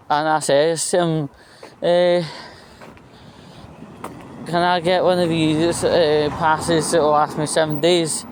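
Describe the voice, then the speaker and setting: A Scottish man rambling through a casual anecdote in a halting, opinionated and aggrieved way. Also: on the high side, clean tone. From Scotland. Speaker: vlogger.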